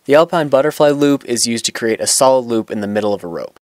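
A man's voice: medium pitch, quick pace, a little mellow, no room sound, clean studio sound. Plain-spoken young man teaching knots. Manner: plain, instructional, with some restarts. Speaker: knot-tying instructor. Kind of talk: step-by-step tutorial. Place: outdoors on grass.

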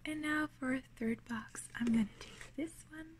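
A woman hosting an ASMR unboxing, whispering breathily and gently, sounding delighted, close to the mic at a table indoors. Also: high-pitched, normal speed, a little hiss or hum.

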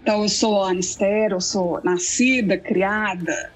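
Husky woman with a Belo Horizonte accent. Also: medium pitch, fairly even intonation, normal speed, faint music behind, rough recording. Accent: Belo Horizonte Portuguese. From Belo Horizonte, Brazil. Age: 40s. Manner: thoughtful, with frequent fillers and restarts. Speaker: guest theologian. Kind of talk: reflective personal interview.

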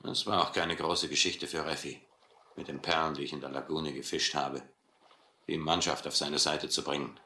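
An actor playing a brutal, proud, cynical sea captain who taunts and philosophizes in a German radio adventure play. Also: male voice, very deep voice, fairly even intonation, bright, forceful tone, echoey room, full character voice. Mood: cynical, matter-of-fact. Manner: mocking, slow deliberate taunting.

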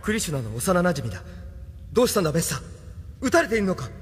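An actor playing an earnest, intense young rebel hero in a Japanese audio drama, speaking with urgent, questioning drama. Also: male voice, high voice, big pitch swings, fast talker, slight background noise. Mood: worried. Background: music.

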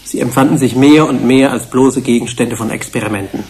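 A man playing a reflective, earnest research scientist in a radio play, with a deliberate, moralizing delivery. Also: mid-range voice, a little mellow, natural voice. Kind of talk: German radio play acting.

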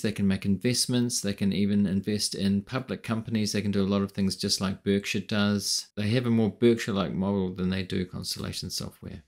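A middle-aged man with a fairly low voice talks through an investing topic on his own channel. He speaks in a measured, explanatory way, sometimes turning questions to his viewers. He sits indoors at home in front of a desk microphone. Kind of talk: solo commentary to camera. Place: room at home with window.

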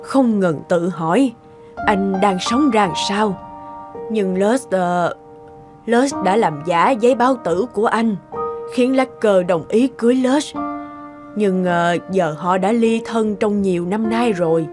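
Woman doing gentle old lady in cartoon drama, slow measured talk, music behind. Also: medium pitch, lightly acted. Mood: gentle concern. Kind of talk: dubbed animated melodrama.

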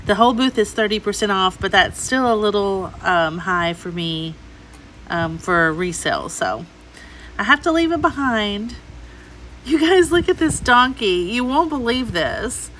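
A middle-aged woman with a bright, forward voice at a medium pitch. She talks casually and gushes over things as she browses, recorded on a phone indoors with faint store noise behind her. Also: very animated, unhurried, poor audio. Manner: chatty, gushing over items. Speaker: host, antiques reseller. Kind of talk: casual shopping vlog commentary. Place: inside an antique mall.

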